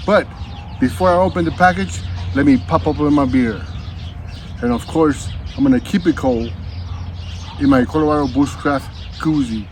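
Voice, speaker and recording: A man's voice: fairly high pitch, normal speed, pauses often, slight background noise. A man hosting a casual unboxing vlog, chatting to viewers in a folksy, slangy, laid-back way. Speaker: vlog host.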